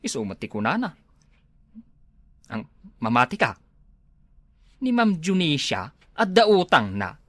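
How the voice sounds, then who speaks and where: A man's voice: medium pitch, huge pitch swings, unhurried, pauses often, fairly bright tone, no room sound, exaggerated voice. A man with an Ilocano accent playing a fussy, officious school staffer in a comedy drama. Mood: gossipy.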